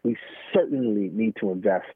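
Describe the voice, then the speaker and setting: An American man speaking candidly and informally as an interview guest, halting often and peppering his talk with "man" and "you know". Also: lowish voice, a crowd in the background. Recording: thin phone-like line, dry.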